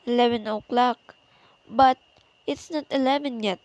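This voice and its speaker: A woman's voice: a little high, fairly even intonation, normal speed, a little mellow, no room sound, highs cut off, close to an everyday voice. A woman playing a nervous, demanding, frightened invalid alone at home in a radio play, anxious and insistent. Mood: agitated, upset.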